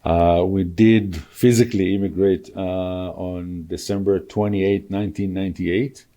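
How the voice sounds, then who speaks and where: Casting: man in his 60s, from Israel, guest speaker, low relaxed mellow voice, unhurried with fillers. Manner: measured, halting with many fillers. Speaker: podcast guest, real estate broker. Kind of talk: casual interview talk.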